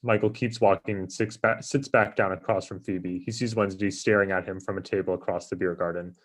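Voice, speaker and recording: A man's voice: deep voice, steady tone, a little fast. A man reading stage directions as scripted narration in a table read. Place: online live stream table read.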